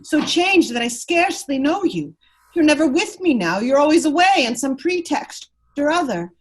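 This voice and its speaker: A woman's voice: high voice, big pitch swings, normal speed, low-quality recording. A woman playing a proud, unhappy, neglected aristocratic wife in an amateur radio play read, earnest and wounded. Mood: wounded, wistful.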